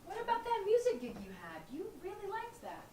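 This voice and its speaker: Actress as sassy sensible young roommate, really high lively voice, stage comedy, echoey noisy room. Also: female voice, fairly quick, heavily acted. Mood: consoling. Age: young adult. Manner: expressive, sassy, casual. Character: sensible, scolding young intern, female roommate. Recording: echoey room, distant mic, noisy background.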